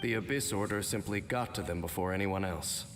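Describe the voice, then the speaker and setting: A man voicing a stoic, world-weary, mysterious warrior in a video game, speaking in a grave, measured, formal way. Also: low-pitched, loud music behind the voice, natural voice. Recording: long reverb, loud ambient noise.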